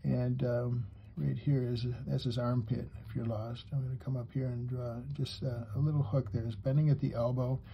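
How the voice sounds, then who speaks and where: A man giving an unhurried, step-by-step drawing lesson for kids, talking as he draws and pausing mid-sentence, with a husky voice. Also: mid-range voice, little pitch movement, highs cut off, a little hiss or hum. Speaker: drawing teacher.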